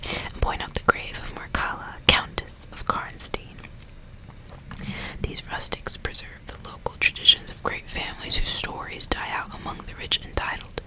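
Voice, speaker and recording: A woman reading the part of a grim, vengeful old male general in a storybook, in a breathy, deliberate whisper. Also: natural voice, low-quality recording.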